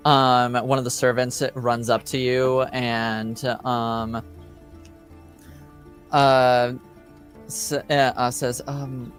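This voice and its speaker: A man with a mid-pitched voice runs a tabletop roleplaying game over faint music. He narrates casually, improvising in a halting way as he thinks out loud. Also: little pitch movement. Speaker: game master.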